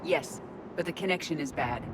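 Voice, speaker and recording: A woman's voice: sits low, moderate pace, background noise, lightly acted. A woman playing a cool, analytical squadmate in a video game, with a clinical, matter-of-fact delivery. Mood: clinical, alert. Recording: echoey, loud game score behind.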